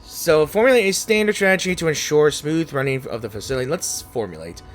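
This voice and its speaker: A man's voice: a little high, expressive, a little fast. A man giving casual gameplay commentary and reading game text aloud as he plays. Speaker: gaming video creator. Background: game music.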